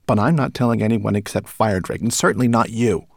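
A man plays a small, indignant female rat from a storybook. The voice is squeaky and high, leaping wildly in pitch, with snappish, hissing delivery. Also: huge pitch swings, a little slow, vocal fry, sharp tone, no echo, clean studio sound, exaggerated voice. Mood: peevish grumbling. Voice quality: shrill, squeaky.

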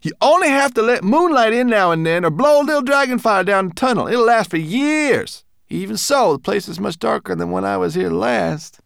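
A man voicing a brusque, furry male mountain creature who talks high and impatiently. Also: lively intonation, moderate pace, vocal fry, few pauses, fairly bright tone, clear, resonant tone, no room sound, clean studio sound, heavily acted. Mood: offhand.

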